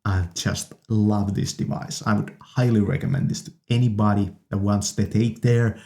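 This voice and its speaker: A man casually demonstrating audio gear as a video host, halting yet enthusiastic, recorded on studio condenser microphones. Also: on the low side, fairly even intonation, mellow.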